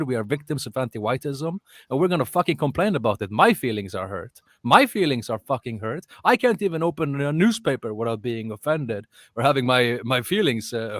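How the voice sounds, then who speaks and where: A man with a Norwegian accent speaks as a panellist in an online discussion. His voice sits at a middle pitch in a dry sound. He talks casually but emphatically, swearing and repeating phrases to press his point. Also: fairly bright tone, dry recording. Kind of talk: casual political ranting.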